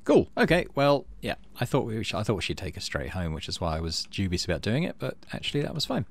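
A middle-aged man hosting a let's play, reading game text aloud and thinking out loud as he plays. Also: fairly low pitch, very animated, quick pace, gravelly, a little hiss or hum. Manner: thinks aloud while playing.

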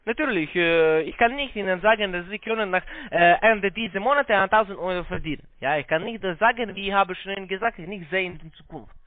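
A non-native speaker, a man with a foreign accent and halting, broken grammar, giving a phone sales pitch. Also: no echo. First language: not German. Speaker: call-centre investment salesman. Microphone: telephone. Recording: muffled band-limited phone line.

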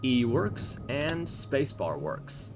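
A man's voice: mid-range voice, sing-song, very slow, music in the background. A man hosting a tutorial, explaining each step as he works through it on screen. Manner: explanatory, thinking aloud while working. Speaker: tutorial host. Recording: clear background noise, keyboard typing and clicks. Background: computer keyboard typing and mouse clicks.

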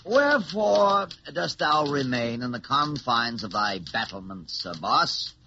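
Snooty knight, very sharp voice, lilting, taunting, noisy old radio play. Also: male voice, mid-range voice, very animated, measured pace, muffled recording, full character voice. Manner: archaic, pompous speech. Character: haughty, taunting male medieval knight. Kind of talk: 1940s radio acting.

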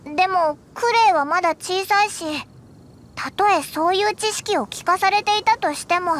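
A woman voicing a tiny, excitable fairy girl companion in an anime game, with squeaky, blunt, chattering delivery. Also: moderate pace. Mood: puzzled. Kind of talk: Japanese anime game voice acting. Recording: game music behind the voice.

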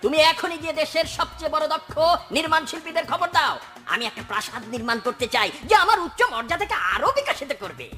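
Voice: sits high, lively intonation, fast talker, pushed and bright, soft background music. An actor's cartoon performance of a vain, scheming rich man who is boastful and fawning, with theatrical swoops from mutters to cries. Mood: greedy, excited. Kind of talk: dramatic Bengali cartoon voice acting.

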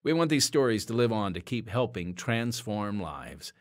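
Lively, low-pitched male podcast host. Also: normal speed. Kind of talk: scripted podcast announcements.

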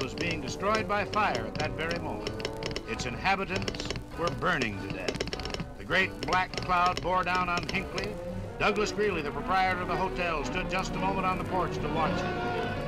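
An American man narrating a 1950s radio documentary in a dramatic, deliberate storytelling style. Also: high-pitched, low-quality recording. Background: dramatic music.

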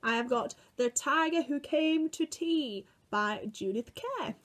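A British woman in her twenties telling a picture-book story to young children in an expressive, exaggerated way, recorded indoors at home. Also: high voice, takes it slowly, a little hiss or hum. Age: 20s. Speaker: children's museum worker reading a story to children. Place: small room at home, indoors.

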